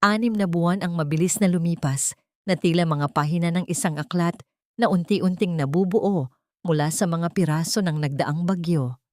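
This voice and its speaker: Low-pitched woman narrating a dramatic story. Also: moderate pace, a little mellow, no room sound, clean studio sound.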